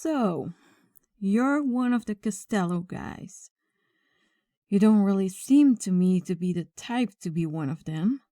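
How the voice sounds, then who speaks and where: A female mafia boss, played by a voice actress, speaks slowly and deliberately, close and dry. She is commanding and condescending, with a mocking, quiet menace suited to an ASMR crime roleplay. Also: medium pitch, expressive, no room sound. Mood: mocking, menacing. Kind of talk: ASMR roleplay audio drama.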